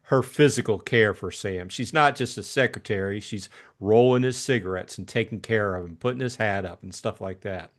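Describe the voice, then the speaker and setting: A man co-hosting a casual book discussion, thinking aloud in a hesitant, halting way. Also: fairly low pitch.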